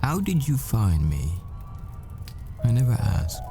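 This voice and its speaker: A man's voice: medium pitch, huge pitch swings, takes it slowly, vocal fry, warm tone, soft background music, full character voice. A man playing a secret hitman who poses as a professor, a smitten and haunted boyfriend in an intimate audio roleplay monologue. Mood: fond, teasing.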